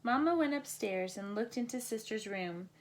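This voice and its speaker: A woman's voice: fairly high pitch, animated, normal speed. A woman reading a children's bedtime story aloud as a storybook narrator. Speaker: storybook reader.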